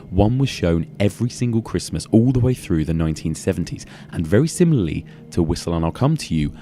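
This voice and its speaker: Hoarse male podcast host with a deep, dark voice, eager and upbeat. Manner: enthusiastic, quick affirmations. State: hoarse, losing his voice. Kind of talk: casual chat and scripted outro.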